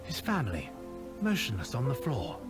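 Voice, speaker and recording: A man playing a solemn, wry storybook narrator in a mystery game, with a measured, theatrical, literary delivery. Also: medium pitch, wildly swooping pitch. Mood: solemn, suspenseful. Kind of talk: scripted dramatic game narration. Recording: loud music under the voice.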